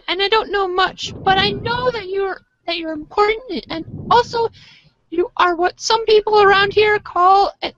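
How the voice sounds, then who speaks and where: A dreamy ghost cat with a tiny, extremely high voice. The innocent creature speaks in slow, simple, cryptic singsong for an amateur audio play. The recording is dry and a little muffled. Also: female voice, fairly bright tone, no room sound, full character voice. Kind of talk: amateur readers' theater.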